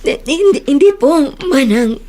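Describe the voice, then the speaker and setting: A woman's voice: sits high, animated, dark tone. An actress playing a generous but wounded woman employer in an emotional Filipino radio drama. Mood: holding back tears. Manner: emotional, halting, pleading. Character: tearful, wounded woman employer, generous, longing for parents' love. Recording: radio drama with music underneath.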